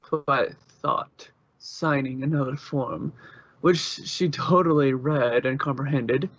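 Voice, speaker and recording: A man's voice: medium pitch, fairly even intonation, slow, fairly bright tone, dry recording, muffled recording. A man reading scripted story narration, as the narrator of an amateur audio drama.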